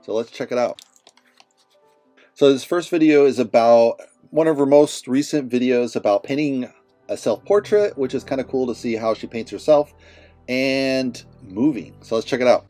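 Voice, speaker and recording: A man's voice: mid-range voice, normal speed, warm tone, soft background music. A man in his forties hosting a casual art reaction video from a small home studio, chatty and laughing often. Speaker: reaction channel host. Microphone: headphones on, webcam framing.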